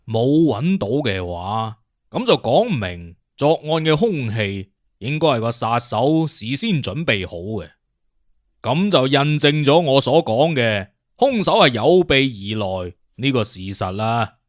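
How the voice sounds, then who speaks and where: A man's voice: medium pitch, sing-song, a little slow, dry recording, studio quality. A man playing a witty, conceited detective consultant in an audiobook, with a Cantonese accent and an emphatic, lecturing, self-assured manner. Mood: confident deducing. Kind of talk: audiobook character acting.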